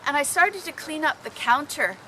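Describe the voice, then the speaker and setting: A woman telling personal stories informally to a group, in an animated, dramatic manner. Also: high voice, normal speed, a little hiss or hum, low-quality recording. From Canada. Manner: animated, dramatic, acting out exclamations. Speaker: storyteller.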